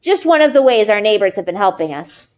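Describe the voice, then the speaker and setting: A woman narrator giving an expressive read-aloud of a children's novel in the first person. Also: mid-range voice, a little fast.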